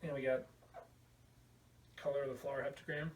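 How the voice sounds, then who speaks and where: A man's voice: mid-range voice, level tone, fairly quick. A man hosting a casual video podcast, rambling through a show-and-tell with an irreverent, crude sense of humor. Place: indoor room at home. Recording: roomy, distant mic, faint background noise.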